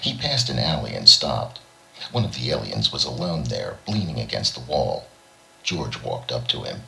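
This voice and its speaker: A man's voice: a bass voice, big pitch swings, moderate pace, creaky, pushed and bright, slight background noise, low-quality recording. A man reading a short story aloud as an audiobook narrator, in a dramatic storytelling style. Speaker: audiobook reader.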